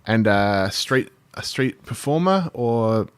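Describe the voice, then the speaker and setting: A man co-hosting a podcast, telling stories in an animated way and swearing casually. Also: mid-range voice, slow pace, clear, resonant tone, no echo. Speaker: podcast co-host. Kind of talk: casual storytelling and banter.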